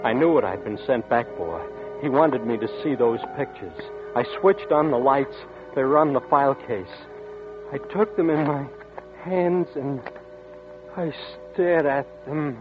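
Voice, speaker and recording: A man's voice: mid-range voice, lively intonation, a little slow, close to an everyday voice. An actor in a 1940s radio drama narrating as a nervous, guilt-ridden man, with a tense, brooding delivery. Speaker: narrator and character Vince. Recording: tinny old radio broadcast with music.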